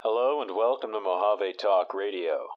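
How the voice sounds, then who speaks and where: A man playing an even-tempered radio talk show host with a measured, steady delivery in a fan audio drama. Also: fairly low pitch, dull audio, background noise, lightly acted.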